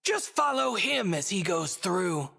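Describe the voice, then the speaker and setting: A woman's voice: fairly low pitch, sing-song, unhurried, creaky, pushed and bright, heavily acted. A woman playing a smug, theatrical stuffed-bunny god in a video game, speaking in mocking, riddling, ornate phrases. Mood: cryptic, grand.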